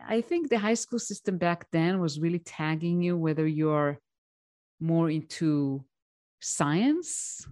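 A woman's voice: sits low, darkish tone, no room sound, clean studio sound. A woman, answering interview questions in a plain, reflective way over a remote call. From Israel. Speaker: guest, tech mentor.

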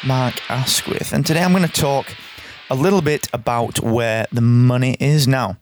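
A man hosting his own podcast, talking in an energetic, chatty way with a hoarse, croaky voice. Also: medium pitch, normal speed, over music. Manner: energetic, chatty, repeats words. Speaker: podcast host. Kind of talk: straight-talking solo podcast.

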